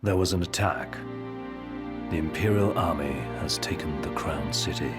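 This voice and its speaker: A man's voice: very deep voice, over music. A man voicing a composed, scholarly royal adviser in a video game, formal and precise. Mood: grave. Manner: formal, measured, exact wording. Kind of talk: dramatic video game voice acting.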